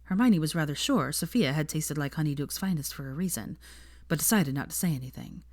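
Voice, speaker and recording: A woman's voice: deep voice, lively intonation, fairly quick, darkish tone, no room sound, clean studio sound. A woman narrating fiction from a script as an audiobook reader.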